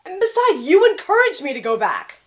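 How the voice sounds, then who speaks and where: A young woman playing an earnest, startled student in a heist crew, excitable and questioning, in an amateur audio drama. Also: high-pitched, brisk, rough recording. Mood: startled, defensive.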